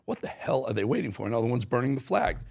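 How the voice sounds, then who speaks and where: A man's voice: mid-range voice, big pitch swings, fast talker, vocal fry, a little mellow. An American man hosting a talk show, giving emphatic, opinionated political commentary and repeating phrases for punch.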